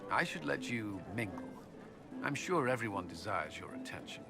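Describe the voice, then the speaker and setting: Earnest, low-voiced military commander. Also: male voice, big pitch swings, vocal fry. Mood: earnest, relieved. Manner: sincere, measured. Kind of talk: dramatic game dialogue. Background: music.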